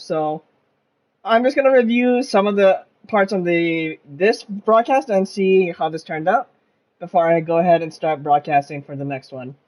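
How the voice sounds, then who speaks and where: A man's voice: high-pitched, poor audio. A man giving casual livestream commentary on a game, hesitant and rambling, with sentences that trail off. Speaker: game streamer. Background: soft game music.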